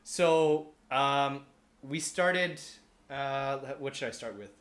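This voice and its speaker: Man, average pitch, casual storyteller, rambling, self-correcting, roomy echoing recording. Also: slow pace, a bit bright, a little hiss or hum. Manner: rambling, often losing his thread and correcting himself. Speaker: podcast panelist pitching a movie adaptation. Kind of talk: casual, unscripted storytelling among friends.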